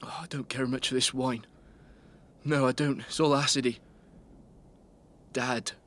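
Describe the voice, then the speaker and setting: A man's voice: medium pitch, fairly even intonation, unhurried, fairly bright tone, lightly acted. An actor playing a troubled, bitter rural man in shock in a radio drama, speaking in clipped, disjointed phrases. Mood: dazed, bitter. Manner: clipped, disjointed, rambling off the point. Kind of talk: naturalistic radio drama dialogue.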